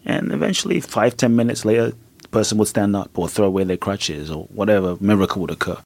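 A man from Ghana speaks as a podcast guest with a low voice, earnest and reflective. He tells his stories vividly. The recording is dry. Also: fast talker, gravelly, a little mellow, no room sound. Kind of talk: interview storytelling.

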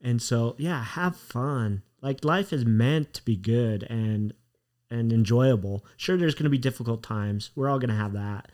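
A man hosts a podcast in a relaxed, easygoing way. His voice sits at a middle pitch. He offers short, encouraging replies and plain reflections. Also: measured pace. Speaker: podcast host. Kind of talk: casual interview chat.

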